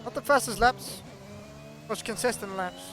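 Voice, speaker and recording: A man from Norway with a Norwegian accent, not a native English speaker, giving chatty, excitable commentary on a sim race. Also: sits high, normal speed, gravelly, noisy background. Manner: chatty, excitable, laughs often.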